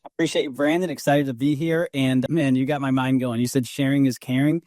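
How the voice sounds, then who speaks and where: A man's voice: medium pitch, fairly even intonation, fairly quick, a little mellow, no echo, studio quality. A man in his forties with a slight Boston accent, chatting candidly as a podcast guest. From Brockton, Massachusetts, USA. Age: 40s. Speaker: podcast guest, real estate investor. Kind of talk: casual personal storytelling.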